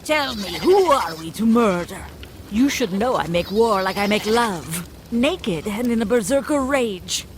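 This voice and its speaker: A woman's voice: medium pitch, lively intonation, a little slow, natural voice. A woman playing a fierce, teasing Viking shield maiden in a motion comic, bold in manner. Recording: clear background noise.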